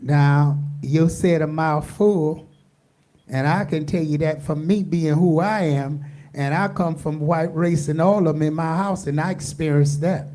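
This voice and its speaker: A woman's voice: low-pitched, unhurried, mellow. An American woman hosting a radio talk show, talking emphatically and asking rhetorical questions.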